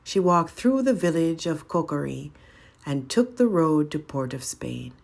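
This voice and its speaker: Low, gravelly woman storyteller. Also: very animated, measured pace, darkish tone. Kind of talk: dramatic read-aloud folk tale narration.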